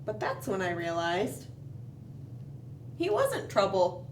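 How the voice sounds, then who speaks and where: A woman hosting a children's storytime, reading a picture book aloud expressively, with dramatic pauses and questioning asides to young listeners. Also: mid-range voice, wildly swooping pitch. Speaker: children's library storytime host. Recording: roomy, distant mic, faint background noise.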